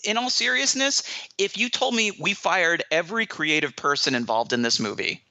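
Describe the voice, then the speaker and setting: Male film critic, slightly high and very bright, forceful voice, emphatic casual discussion, close dry sound. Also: lively intonation, moderate pace, no echo.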